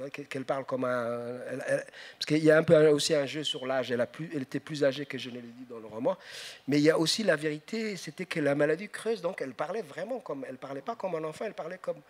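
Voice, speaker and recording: A middle-aged man, an author on a festival panel, telling stories with many hesitations, into a handheld mic before a live audience. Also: fairly high pitch. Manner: storytelling, hesitant with many fillers and restarts. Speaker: author guest. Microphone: handheld microphone.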